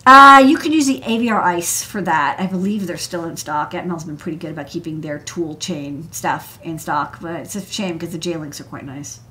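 A woman's voice: deep voice, normal speed, few pauses, bright, forceful tone. An American woman hosting a technical livestream, chatting informally about electronics with plenty of casual asides. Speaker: host, electronics engineer. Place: indoor desk workspace.

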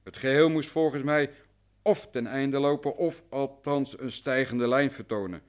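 A man's voice: mid-range voice, measured pace, natural voice. A man playing an anxious, deferential client in a radio drama, recounting things at length and meticulously. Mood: earnest, resigned. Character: long-suffering male client, anxious, deferential, talkative. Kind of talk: radio drama acting in Dutch.

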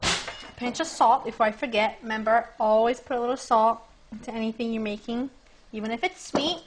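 A young woman hosting a cooking demonstration in her home kitchen, chatting brightly and speaking straight to her viewers. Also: a little high, fairly quick, background noise. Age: young adult. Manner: bubbly, chatty, addresses viewers directly. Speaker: cooking show host. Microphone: lapel mic.

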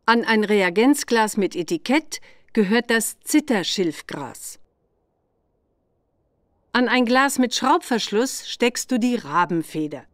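A woman playing an older witch examiner who gives patient, deliberate instructions in a children's game. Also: fairly high pitch, dry recording.

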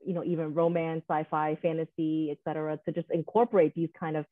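A woman's voice: low-pitched, flat delivery, quick pace, no echo. Young woman moderator on a tinny line. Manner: searching, filler-heavy. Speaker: panel moderator. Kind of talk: casual panel discussion. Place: small room, video call. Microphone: webcam on video call. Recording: dry tinny phone-like video call audio.

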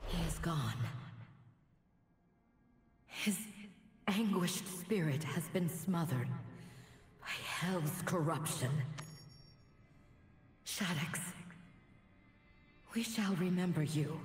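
An actress playing a solemn, resolute otherworldly guide in a video game, speaking in a grave, measured, mournful way. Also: female voice, lowish voice. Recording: long echoing reverb, faint music.

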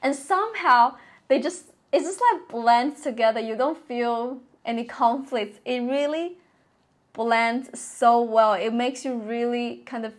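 A young adult woman giving casual, excited reaction commentary in an expressive, exclaiming way, recorded on a lapel mic in a bedroom. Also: on the high side, measured pace. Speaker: reaction video host. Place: small bedroom, indoors. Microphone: clip-on lapel mic.

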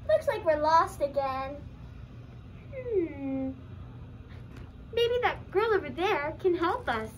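A woman's voice: extremely high pitch, very animated, slow pace, long pauses, exaggerated voice, rough recording. A young female student voicing a puppet character in a scripted comic puppet show. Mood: puzzled, exasperated. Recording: faint background noise.

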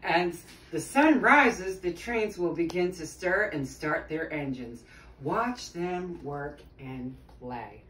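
A woman's voice: fairly low pitch, slow. A middle-aged woman reading a children's story aloud, expressively, with chatty asides to her young listeners. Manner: expressive, addressing children and prompting them. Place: small tiled sitting room at home, indoors. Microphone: phone camera a few metres away. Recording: roomy, distant mic with background noise.

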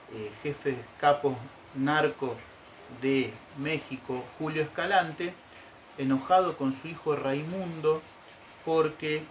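A man's voice: fairly high pitch, slight background noise, poor audio. A middle-aged man telling a folk legend to camera from a living room sofa. He explains step by step and keeps checking in with his listeners. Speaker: storytelling show host. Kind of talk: casual storytelling to camera.

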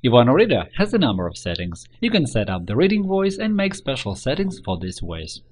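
A man presenting a software tutorial in scripted, step-by-step narration. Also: medium pitch, wildly swooping pitch, brisk, a little hiss or hum.

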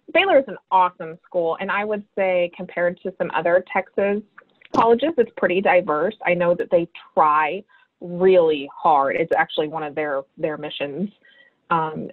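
A woman casually sharing personal stories as a participant in a group discussion on a video call. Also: lowish voice. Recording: thin phone-like video call audio.

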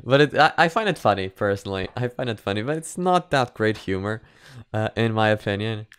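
A man's voice: fairly low pitch, expressive, a little fast, a bit bright, smooth, dry recording. A man in his twenties giving casual reaction commentary into a close microphone, hesitating and repeating words as he goes. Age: 20s. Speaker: reaction video host. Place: small home room, indoors. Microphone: close microphone on boom arm.

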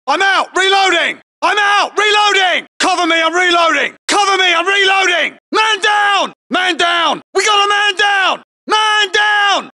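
An actor playing a tough British SAS soldier in a military shooter game, yelling urgent, clipped battle callouts. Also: male voice, very high-pitched, full character voice.

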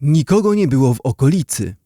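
A man's voice: medium pitch, very animated, a little fast, warm tone, dry recording, studio quality. A man narrating a scripted story for children, as a storyteller.

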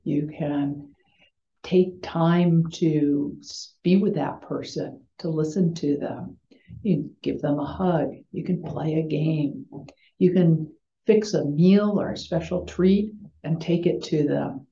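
An older American woman speaks as a chaplain to children, gently and simply. Her voice is soft-edged and mellow, and she takes her time. The sound is roomy, like a computer mic in a home room. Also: sits low, takes it slowly, vocal fry. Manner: gentle, simple explanatory phrasing. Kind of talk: chapel talk to schoolchildren. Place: small home room with bookshelves. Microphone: computer mic on video call. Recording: roomy, dull video-call sound.

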